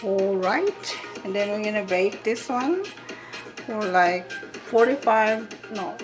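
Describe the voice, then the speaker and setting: A woman's voice: medium pitch, takes it slowly. A woman hosting a home cooking vlog, talking casually to viewers in short, simple phrases. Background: background music.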